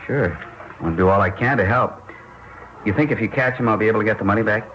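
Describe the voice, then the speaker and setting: A male actor as a fretful store worker with a hangdog air. His voice is low and he talks fast, as if burdened with troubles. The 1950s radio drama recording is dull and faintly noisy. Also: a bit bright, lightly acted.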